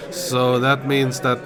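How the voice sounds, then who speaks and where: A man's voice: medium pitch, poor audio. A man giving casual, conversational live commentary on a tabletop wargame. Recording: loud crowd chatter behind the voice. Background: busy gaming event crowd.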